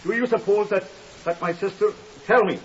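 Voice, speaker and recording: An actor playing an anxious, grieving and polite man with a formal, halting, questioning way of talking in a 1950s radio drama. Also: high voice, close to an everyday voice. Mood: grief-stricken. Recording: muffled, hissy 1950s radio recording.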